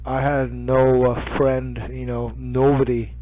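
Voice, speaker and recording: A man's voice: mid-range voice, monotone, takes it slowly, warm tone, slight background noise, low-quality recording. A man in his fifties with a Japanese accent, chatting casually as an amateur radio operator, hesitant and searching for words. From Hokkaido, Japan. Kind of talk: casual radio contact chat.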